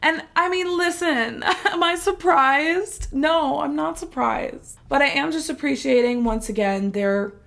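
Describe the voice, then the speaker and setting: A woman's voice: sits high, a little slow, vocal fry, few pauses, a bit bright. A woman with a stuffy nose from a cold, chatting enthusiastically as she reacts to a new song. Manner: gushing, enthusiastic, chatty with fillers. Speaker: music reaction vlogger. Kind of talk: casual chat.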